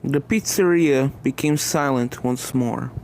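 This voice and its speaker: A woman's voice: rumbling low, a little slow, darkish tone, a little hiss or hum. A woman reading fan fiction aloud as scripted story narration.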